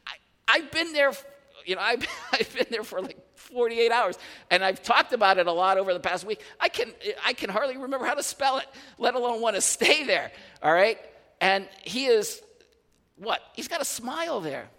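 A man in his fifties, a pastor, preaching a sermon to his congregation in an animated, conversational way with asides and restarts. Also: very high-pitched, moderate pace, big-room sound. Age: 50s. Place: large church hall.

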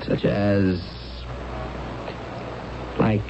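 An actor playing a young hip musician and husband in a radio drama, earnest and defensive, with halting slangy talk. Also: male voice, lowish voice, flat delivery. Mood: evasive, earnest. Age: young adult. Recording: dull, noisy old radio broadcast.

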